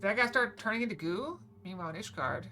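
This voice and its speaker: Bright chatty woman streamer. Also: medium pitch, expressive, quick pace. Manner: chatty, rambling, playful. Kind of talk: casual live-stream chat over gameplay.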